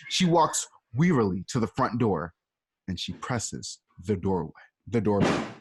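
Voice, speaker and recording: A man narrates a radio play's action in a casual way, full of chatty asides. His voice is a bit high and husky, and it swings widely in pitch. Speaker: narrator and host. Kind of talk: casual stage-direction narration.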